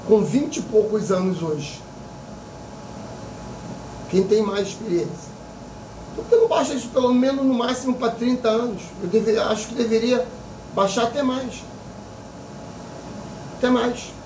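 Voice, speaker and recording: A man's voice: very high voice, warm tone, echoey room, distant mic, a little hiss or hum, poor audio. A man in his fifties, a psychic vlogger giving an opinionated casual monologue full of repetition and rhetorical questions. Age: 50s.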